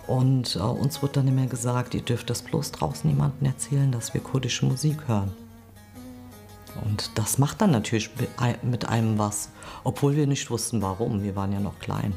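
A woman in her forties giving a reflective, halting interview account. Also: a bass voice, gravelly, dark tone, faint music behind. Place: quiet indoor room.

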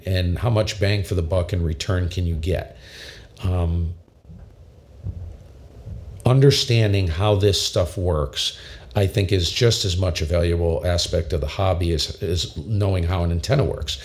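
A man in his fifties with a very low voice, enthusiastically explaining ham radio audio gear in a casual tutorial from his home radio room. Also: darkish tone. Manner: enthusiastic, emphatic, repeats himself. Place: home radio shack, small indoor room. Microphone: studio condenser mic with pop filter.

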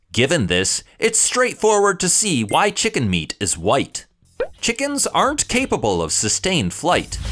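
Man narrating science with very animated intonation. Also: a little high. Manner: explanatory, presentational, addresses viewers with rhetorical questions. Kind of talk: scripted educational narration.

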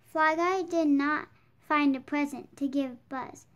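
Slow, very high-pitched young storybook reader. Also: fairly even intonation, fairly bright tone, lo-fi sound. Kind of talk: slow read-aloud of a children's picture book.

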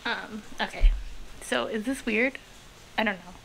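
A woman's voice: mid-range voice, measured pace. A woman of about thirty chatting casually to camera in a small home kitchen, rambling with many ums and likes. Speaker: art vlogger. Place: small home kitchen-studio indoors, rain outside. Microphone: camera close on the table. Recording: home video with clear rain noise behind. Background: rain noise.

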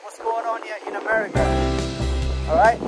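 A man's voice: sits high, steady tone, normal speed, over loud music. Thai man vlogging on a windy ship deck. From Thailand. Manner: chatty, broken English, loose grammar. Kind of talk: casual travel vlog. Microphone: phone at arm's length.

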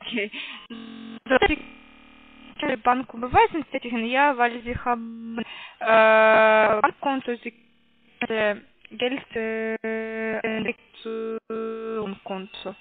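A woman with a foreign accent making a halting sales phone call, hesitant and careful as she spells things out. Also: a little high, steady tone, faint music behind. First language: not German. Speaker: call centre caller. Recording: muffled, narrow phone line.

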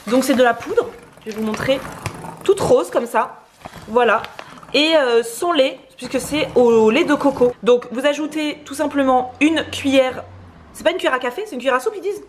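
A woman's voice: high voice, normal speed, a little hiss or hum. A young woman chatting casually to camera in her home kitchen, explaining breakfast as she goes. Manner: chatty, lively, explains while cooking. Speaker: vlogger co-host.

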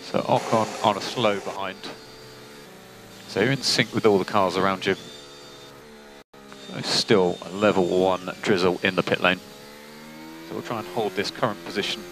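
A man working as a race engineer, giving calm, clipped technical instructions over team radio. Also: sits low, moderate pace, pauses often. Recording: noisy team radio, engine noise behind.

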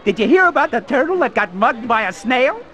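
Very high-pitched wisecracking bug comedian. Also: male voice, very animated, fairly quick, fairly bright tone, full character voice. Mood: jokey. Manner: jokey, hammy delivery. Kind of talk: cartoon game stand-up joke telling. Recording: music and bar crowd behind voice.

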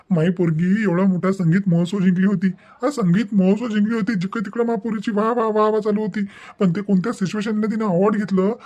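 A man voicing an angry, wounded middle-aged father in an animated family drama, emphatic and repetitive. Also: sits high, close to an everyday voice.

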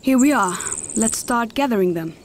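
An actress playing a kindly, instructive mentor in a fantasy audio drama, explaining things formally and patiently. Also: female voice, on the high side, expressive, normal speed, natural voice. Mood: brisk, directing. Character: kindly, instructive female mentor of a fantasy light-gathering trade. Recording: clearly noisy background of clicks and horse-like sounds.

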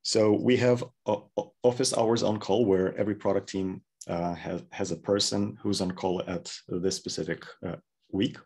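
Low, husky man explaining his work. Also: steady tone, moderate pace, darkish tone. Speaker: product engineer, interview guest. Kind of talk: explanatory conversational talk. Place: indoor room, plain backdrop, video call.

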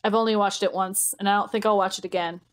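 A woman co-hosting a podcast in relaxed, conversational discussion. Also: medium pitch, fast. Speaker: podcast co-host.

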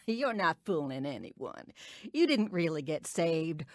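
A woman's voice: on the low side, big pitch swings, takes it slowly, background noise. A woman playing a tyrannical, accusing mother in a radio drama, snapping and menacing. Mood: furious, menacing.

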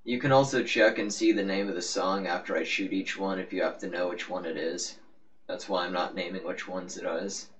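A man's voice: low voice, fairly even intonation, a little fast, a bit bright. A man giving a casual, rambling, offhand game walkthrough as the host of a gaming video. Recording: muffled, noisy, distant-sounding microphone.

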